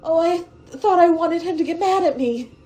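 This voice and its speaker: A woman's voice: very high voice, normal speed, mellow. An actress playing a frightened, wistful young woman stowaway in a science-fiction radio drama, speaking hesitantly and with tender emotion. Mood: wistful, sad.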